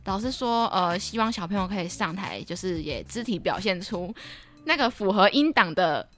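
A woman's voice: mid-range voice, lively intonation, moderate pace, fairly bright tone, faint music behind. A young woman with a Taiwanese Mandarin accent chatting casually as a podcast co-host. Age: young adult. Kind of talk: casual chat.

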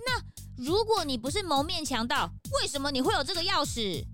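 A woman doing an eager detective's assistant in a children's audio drama, very high and bright with a lively, bouncing delivery. She fusses and jumps in eagerly, with soft music behind. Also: brisk. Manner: eager, fussing.

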